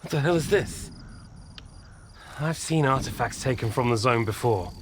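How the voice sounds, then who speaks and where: A man's voice: mid-range voice, expressive, moderate pace, a little hiss or hum, lightly acted. An actor playing a hot-tempered, domineering man in a video game, brusque and confrontational. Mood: suspicious. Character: hot-tempered, foul-mouthed, domineering man in bleak zone. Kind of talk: video game cutscene acting.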